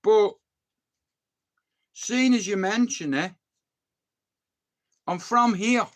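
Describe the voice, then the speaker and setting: A man's voice: high voice, very slow. A young Irish man chatting casually with livestream viewers, joking and swearing. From Ireland. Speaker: livestream host.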